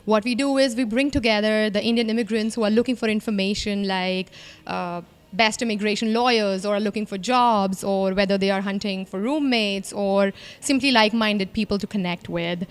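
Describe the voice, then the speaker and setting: A woman pitches her company's app fluently and briskly. Her voice is smooth and clear. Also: fairly even intonation. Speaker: company representative. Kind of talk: describing a product on camera.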